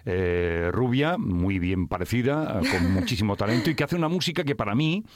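A man hosting a radio interview in a studio, talking in a chatty, animated way and often repeating words. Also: lowish voice, a little fast, no echo. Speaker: radio host.

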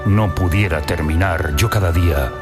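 A man's voice: sits low, moderate pace, over loud music. A man from Spain hosting a radio show, enthusiastic and teasing with his guest. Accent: Spain Spanish. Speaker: radio host. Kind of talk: radio show banter and recited love poem. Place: radio studio.

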